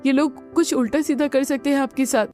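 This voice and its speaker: A woman voices an innocent young bride in a family cartoon. Her voice is high, meek and plaintive. Music plays underneath. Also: fairly quick, darkish tone, close to an everyday voice. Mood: worried. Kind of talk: Hindi family cartoon voice acting.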